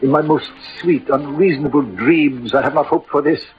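An actor playing an eloquent, lovelorn poet-soldier with ardent, lyrical delivery in old radio drama style. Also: male voice, on the high side, normal speed, music in the background, lightly acted. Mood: rapturous, tender. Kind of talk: 1940s-50s radio drama acting. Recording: dull old radio recording.

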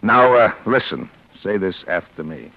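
Gruff, low-voiced older boss. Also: male voice, expressive, unhurried. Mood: sly, approving. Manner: curt, needling, bossy. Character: tough little gray-haired older man, gruff, needling boss. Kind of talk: 1940s radio drama acting. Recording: muffled old radio recording.

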